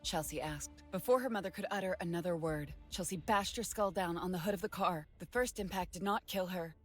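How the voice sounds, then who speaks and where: Low-voiced woman narrating horror. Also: a little fast, faint music behind.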